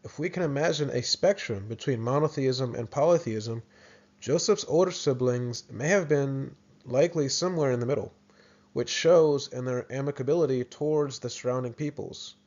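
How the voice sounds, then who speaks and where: A man's voice: medium pitch, moderate pace, darkish tone, highs cut off. An American man presenting a video lesson in a casual, explanatory way, often restarting his sentences. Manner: thoughtful, with frequent restarts and fillers.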